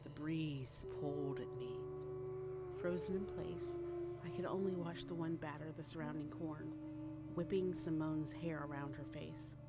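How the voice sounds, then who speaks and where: Low-voiced, animated American woman reading a story. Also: a little slow. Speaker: author reading her own short story. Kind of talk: expressive audiobook story narration. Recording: dull lo-fi audio under loud music. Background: music.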